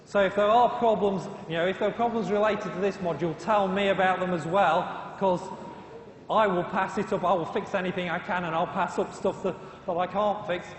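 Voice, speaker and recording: A man lecturing university students in a brisk, informal and reassuring way. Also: high voice, fairly even intonation. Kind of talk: classroom lecture. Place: large lecture hall. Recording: echoey hall reverb.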